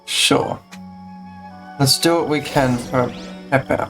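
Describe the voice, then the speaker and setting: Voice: mid-range voice, takes it slowly. A streamer giving casual commentary while playing a game, musing aloud in a hesitant way. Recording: game music behind the voice. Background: video game music.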